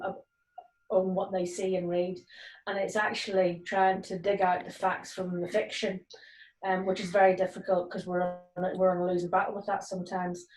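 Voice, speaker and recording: A woman's voice: medium pitch, a little mellow, a little hiss or hum. A woman in her forties, a police community cohesion sergeant, speaking earnestly on a video call with many ums and restarts. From Nigeria and Northern Ireland. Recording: roomy, distant video call audio.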